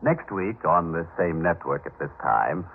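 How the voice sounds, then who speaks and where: A man's voice: low voice, lively intonation, unhurried, vocal fry, loud music behind the voice. A man announcing formally and grandly in the style of a 1950s radio broadcast. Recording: noisy, crackly, tinny 1950s radio recording.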